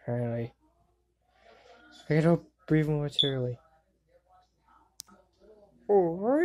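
A woman voicing a jokey, excitable young boy wizard in a cartoon fan dub, cracking wisecracks and yelping exclamations. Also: mid-range voice.

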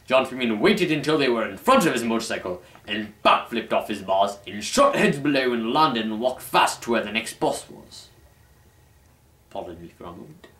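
A man's voice: mid-range voice, lively intonation, normal speed, a bit bright. A young man giving a pompous, formal, mock-dramatic story reading as host, recorded in a small room on a camera microphone. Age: young adult. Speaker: host and reader. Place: small dim room at home. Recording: roomy, distant camera microphone.

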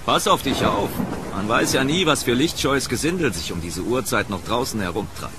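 Night patrolman male actor, companionable, low and lively, outdoor street noise, radio play. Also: normal speed, natural voice. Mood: jovial. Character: friendly night patrolman on his rounds. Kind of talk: audio drama acting. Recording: noisy outdoor street ambience.

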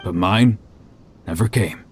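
An actor playing an earnest, stoic young martial fighter in a video game, plain-spoken. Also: male voice, deep voice, takes it slowly, a little hiss or hum. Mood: wistful. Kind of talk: video game character acting.

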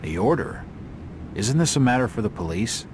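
An actor playing a wry, persistent hard-boiled detective in a video game, sardonic and probing. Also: male voice, medium pitch, big pitch swings, normal speed, darkish tone, slight background noise, close to an everyday voice.

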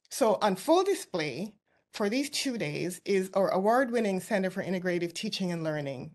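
A middle-aged woman giving an earnest, measured keynote talk over a video call from her office, with some fillers and restarts. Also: mid-range voice, a little mellow. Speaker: keynote speaker. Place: office with window behind. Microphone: laptop webcam on video call.

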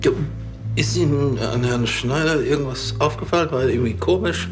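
An actor playing a bumbling, chatty police officer who stammers and rambles in a crime drama. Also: male voice, mid-range voice, a little fast, music in the background, lightly acted. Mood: awkward, nosy.

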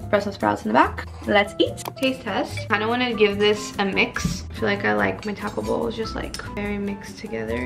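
A woman's voice: mid-range voice, vocal fry. A woman in her twenties chatting casually and upbeat to camera as a lifestyle vlogger, peppering her talk with "like". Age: 20s. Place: indoors at home, kitchen and living room. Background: background music.

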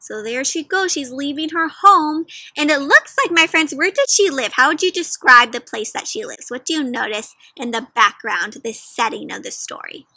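A young adult woman hosting an interactive picture book read-aloud, questioning young children directly in an animated, teacherly way. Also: high voice, normal speed, hardly any pauses, no room sound. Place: outdoors in a leafy garden.

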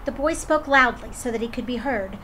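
A woman storyteller reading a children's folktale aloud in an expressive way. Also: a little high, fairly quick, slight background noise. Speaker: drama storyteller.

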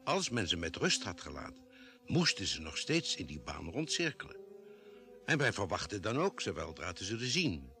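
A spaceship doctor telling his story in vintage radio drama. His low voice is formal yet animated, with some music behind it. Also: moderate pace, gravelly, heavily acted. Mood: measured, serious. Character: spaceship doctor recounting a Mars expedition. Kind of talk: scripted narration in old Dutch radio drama.

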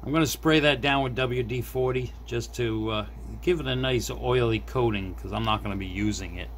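A middle-aged man talking casually and unhurriedly, half to himself, as he demonstrates a hands-on repair at a desk. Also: mid-range voice. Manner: unhurried, muttering to self while working. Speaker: hobbyist repair video host. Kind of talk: casual hands-on demonstration, narrating as they work. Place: small indoor desk workspace. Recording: faint background noise.

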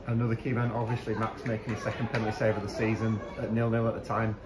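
Brisk interviewer, echoey crowd. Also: male voice, on the low side, monotone. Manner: brisk, leading questions. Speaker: club media interviewer. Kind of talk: post-match interview questions.

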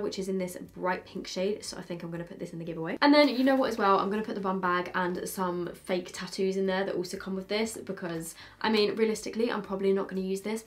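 A young woman in her twenties with a British accent, chatting casually as she unboxes makeup at her desk, thinking aloud with quick asides. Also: medium pitch. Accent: British English. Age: 20s. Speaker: beauty vlogger. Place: bedroom filming setup, indoors.

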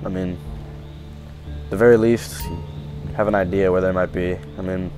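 A low-pitched male survivor, acted by a man in a game roleplay, earnest and unsure of himself. He talks casually and haltingly, hedging as he goes, with game music playing under his voice. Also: steady tone, a little mellow, natural voice. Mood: resigned, grateful. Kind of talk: improvised roleplay acting in a video game skit.

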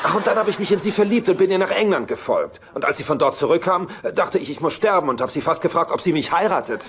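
A man's voice: high voice, lively intonation, fast, crowd noise. An actor playing an exasperated, sardonic womanizer in a German-dubbed sitcom, with a dry, sarcastic delivery. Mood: agitated. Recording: noisy TV soundtrack rip.

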